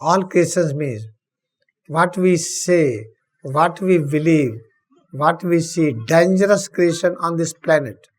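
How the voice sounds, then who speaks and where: Very slow-speaking Indian meditation teacher, a man. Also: fairly high pitch. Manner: deliberate, solemn, with long pauses between short phrases. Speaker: spiritual teacher leading guided meditation. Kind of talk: guided meditation instruction.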